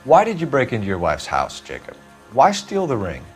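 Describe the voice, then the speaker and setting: Earnest, relentless American detective, animated delivery, formal and pressing, music underneath, for a crime game. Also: male voice, mid-range voice, moderate pace. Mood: accusing. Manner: accusatory, clipped, formal. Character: earnest, driven male police detective, relentless interrogator. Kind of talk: dramatic video game acting.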